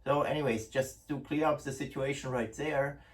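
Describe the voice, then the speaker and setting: A man's voice: mid-range voice, fairly even intonation, moderate pace. German-accented middle-aged man, distant mic. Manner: chatty, many restarts and fillers. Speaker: host, gun importer. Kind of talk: casual product talk to camera. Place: indoor office room. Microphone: far camera. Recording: distant room sound.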